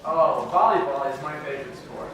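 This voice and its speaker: A man's voice: fairly high pitch, a little fast, a crowd in the background, natural voice. A man playing a young, naively devout camp lifeguard in a comedy skit, gushing and earnest. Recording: distant, echoey, noisy room.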